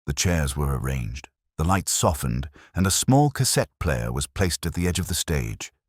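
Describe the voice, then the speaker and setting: A man reading a scripted mystery story in a measured, dramatic way with short pauses and a gravelly voice. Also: very deep voice, big pitch swings, no room sound, studio quality. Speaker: narrator. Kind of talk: scripted mystery audiobook narration.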